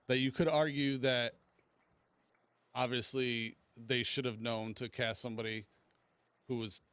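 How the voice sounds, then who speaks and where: Mid-pitched man, halting, explaining, very dry sound. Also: level tone, a bit bright, smooth, no room sound. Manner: halting, hedged, measured explaining. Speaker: podcast co-host. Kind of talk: casual film discussion.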